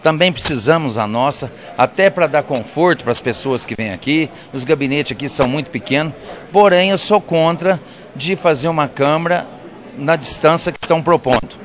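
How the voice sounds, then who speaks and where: A man's voice: on the high side, brisk. Firm middle-aged Brazilian councilman. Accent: Brazilian Portuguese. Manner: firm, opinionated. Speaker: city councilman. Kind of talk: interview answer. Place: council chamber indoors. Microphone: handheld reporter mic.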